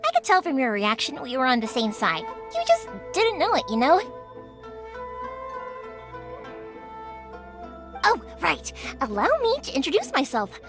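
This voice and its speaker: A high, very lively young female shopkeeper from an animated game. She is cheerful, eager and breezily colloquial. Faint music sits behind her. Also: brisk, heavily acted. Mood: cheerful, friendly. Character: cheerful young female fireworks shop owner, eager and friendly. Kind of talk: animated video game voice acting.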